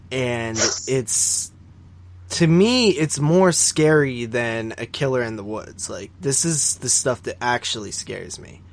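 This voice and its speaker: A man co-hosting a podcast, talking about films in a casual, rambling and opinionated way, often repeating words for emphasis. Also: medium pitch. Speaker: podcast co-host reviewing horror films. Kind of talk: casual conversational film discussion.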